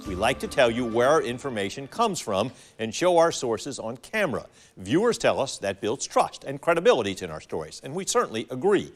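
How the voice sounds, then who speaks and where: An American man anchors a TV newscast in a mid-pitched voice. His intonation moves widely as he delivers scripted copy in a formal, measured way. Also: big pitch swings, soft background music. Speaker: TV news anchor. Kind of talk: scripted local news broadcast delivery.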